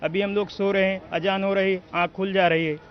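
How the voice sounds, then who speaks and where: Man on the street, high pitch, casual interview answer, dull outdoor sound. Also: little pitch movement, fairly quick. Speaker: member of the public.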